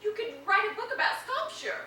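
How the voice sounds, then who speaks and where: An actress playing an earnest hospital counsellor who talks gently and professionally to a patient. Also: female voice, very high voice, sing-song, brisk, heavily acted. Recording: roomy film sound from a distance.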